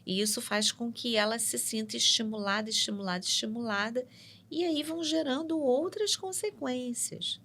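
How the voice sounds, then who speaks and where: A woman psychologist, explaining ideas in a measured way on a studio podcast and often checking in with "né?". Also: fairly high pitch, fairly bright tone. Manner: measured and explanatory, tags points with "né?". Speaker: psychologist guest. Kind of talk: explanatory interview talk.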